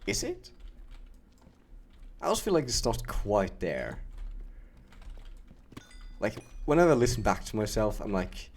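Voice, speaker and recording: Young male streamer, German accent, unhurried gravelly delivery, easygoing banter. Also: lowish voice. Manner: relaxed, chatty, laughing and joking with a co-player. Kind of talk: casual gaming chat.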